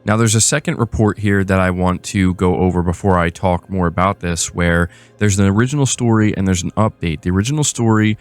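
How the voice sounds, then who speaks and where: A man's voice: sits low, fairly quick, few pauses. A man hosting a gaming news show, moving between read-out reports and casual commentary. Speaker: host of a gaming news channel.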